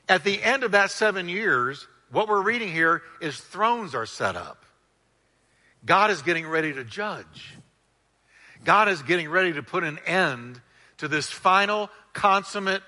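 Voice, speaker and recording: Man, pastor, loud emphatic sermon voice, slightly high pitch, dramatic pauses, long church-hall reverb. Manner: emphatic preaching with dramatic pitch swings and slow stressed words; calls on the congregation to repeat phrases.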